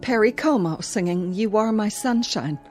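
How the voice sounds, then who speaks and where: An actress playing a middle-aged small-town housewife in a radio drama, determinedly pleasant while uneasy and evasive. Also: female voice, mid-range voice, expressive, normal speed, a little mellow, faint music behind, natural voice. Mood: brittle cheer. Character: fearful small-town housewife keeping up brittle cheer.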